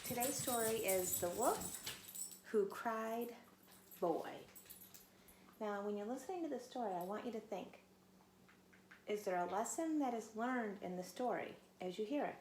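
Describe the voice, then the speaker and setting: Brief: woman teacher, gentle read-aloud at home, slight background noise. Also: fairly high pitch, unhurried, long pauses, echoey room. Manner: gentle, asks children questions. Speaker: teacher reading aloud to children. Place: home, pets nearby. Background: dogs playing.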